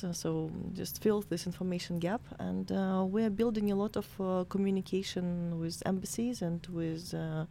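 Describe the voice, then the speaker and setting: A woman from Ukraine talks in a low, mellow voice, pausing often with fillers and restarts. Faint noise sits behind her in the studio. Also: creaky. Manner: hesitant, many fillers and restarts. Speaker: tech promotion representative. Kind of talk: studio discussion.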